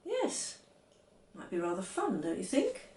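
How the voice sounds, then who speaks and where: A woman's voice: mid-range voice, wildly swooping pitch, measured pace, darkish tone, reverberant. A woman reading the part of a mild, easygoing father, hesitant and placating, in a children's storybook. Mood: mild, tentative. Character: fond father, mild, teasing and easygoing man.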